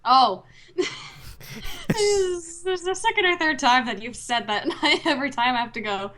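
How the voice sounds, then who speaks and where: A woman chatting casually as a podcast co-host, pausing thoughtfully to find her words. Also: high voice, expressive, sharp tone.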